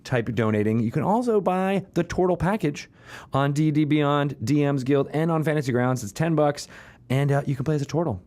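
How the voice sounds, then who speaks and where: A man hosting a podcast, giving casual announcements and banter in a halting, rambling way, often correcting himself. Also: a little high, darkish tone. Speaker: podcast host.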